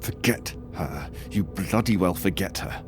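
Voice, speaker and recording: Troubled Victorian surgeon, slightly low male voice, tense, halting, horror radio play, clear music behind. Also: expressive. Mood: demanding, angry. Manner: tense, halting, dropping to whispers. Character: shaken, rational Victorian surgeon, troubled and angry. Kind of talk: audio horror drama acting.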